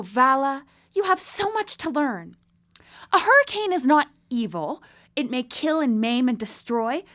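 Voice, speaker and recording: A woman's voice: high voice, fairly bright tone. A woman playing a driven, obsessive female scientist in a live radio drama, earnest and intensely theatrical.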